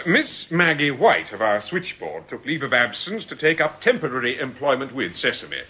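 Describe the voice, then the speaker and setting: Suave, animated criminal boss. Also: male voice, on the high side, full character voice. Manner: measured, formal, businesslike. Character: suave, authoritative male criminal boss running a scheme like a company. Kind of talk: radio drama acting.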